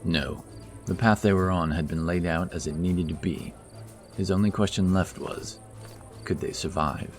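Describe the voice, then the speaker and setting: A man narrating a fantasy audiobook in a steady, measured way. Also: low-pitched, soft background music.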